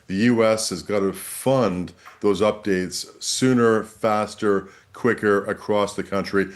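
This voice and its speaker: A man with a fairly low voice gives analysis as an expert guest on a news program. He explains in a conversational way and repeats words for emphasis. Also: fairly even intonation, slow.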